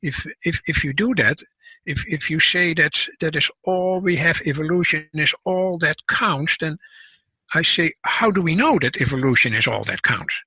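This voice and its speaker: An older man with a Dutch accent, explaining his views as an interview guest in a measured way with frequent restarts. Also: on the high side, expressive, bright, forceful tone, breathy edge, no room sound, low-quality recording. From Netherlands. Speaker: guest scientist and author. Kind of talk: casual interview talk.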